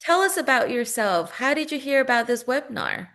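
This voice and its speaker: A woman's voice: a little high, big pitch swings, fairly quick, fairly bright tone. A woman hosting a webinar over a video call, greeting and thanking each guest as they join. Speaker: webinar host.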